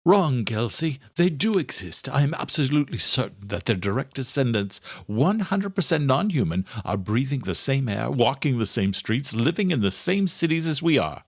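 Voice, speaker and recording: A man's voice: mid-range voice, big pitch swings, normal speed, hardly any pauses, no echo, clean studio sound. A man playing an aging, proud scientist in audiobook dialogue, earnest and emphatic as he lectures on his obsession. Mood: fervent.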